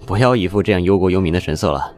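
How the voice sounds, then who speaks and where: An actor playing a calm young man who speaks in a relaxed, reassuring way, in an audio drama. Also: deep voice, rapid-fire, soft background music, lightly acted. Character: calm, easygoing young man, resolved to face risk.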